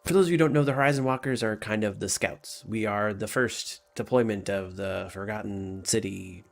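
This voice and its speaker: Tabletop scout leader, female male player: low, creaky, hesitant, apologetic, rambling. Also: normal speed, faint music behind. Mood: earnest, explaining. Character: tall, awkward, apologetic woman, leader of scouts.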